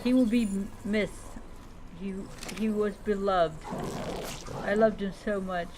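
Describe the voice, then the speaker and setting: A woman streamer role-playing in character as a dragon rider in a game, speaking haltingly and repeating words. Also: medium pitch, background noise. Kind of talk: in-character game roleplay storytelling, talking to pet creatures.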